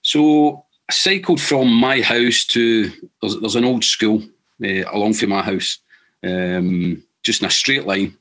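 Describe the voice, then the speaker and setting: Earnest Scottish man, lowish voice. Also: steady tone, fairly bright tone. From Scotland. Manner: earnest, halting, many restarts. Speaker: guest. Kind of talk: casual storytelling.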